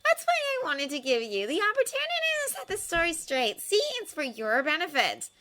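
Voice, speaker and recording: A woman's voice: sits high, wildly swooping pitch, moderate pace, bright, forceful tone, voice pushed up, full character voice. A woman voicing a sly, pushy middle-aged female reporter with a syrupy manner.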